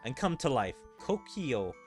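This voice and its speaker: A man's voice: mid-range voice, very animated, measured pace. A man voicing a sinister female sorceress who solemnly commands her creation in video game dialogue. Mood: solemn, incanting. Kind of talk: video game story dialogue read aloud. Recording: music behind the voice.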